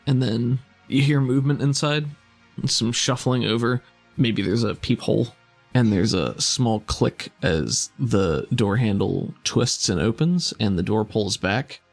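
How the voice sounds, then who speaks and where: Man narrating a game over music. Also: medium pitch. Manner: descriptive, scene-setting. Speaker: game master. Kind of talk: improvised narration of a tabletop game.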